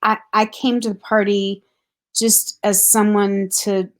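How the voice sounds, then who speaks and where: A middle-aged woman, a grief counselor, speaking thoughtfully and earnestly in a relaxed conversation, with a soft laugh now and then. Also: mid-range voice, measured pace. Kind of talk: reflective casual conversation.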